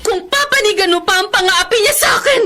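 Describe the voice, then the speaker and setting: Bitter jilted woman for radio melodrama; extremely high, highly animated voice, emotional and vengeful, repeating phrases. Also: fast talker, very noisy. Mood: vengeful fury. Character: bitter, vengeful jilted woman, wronged ex-lover of a married man. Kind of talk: Filipino radio melodrama acting. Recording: music behind the dialogue.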